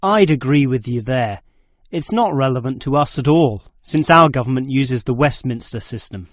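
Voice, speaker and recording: Male student played by a man, young, critical and precise, animated intonation, scripted dialogue, dry acoustic. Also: mid-range voice, normal speed, no echo, close to an everyday voice. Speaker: student in a listening test dialogue. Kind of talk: scripted exam dialogue acting.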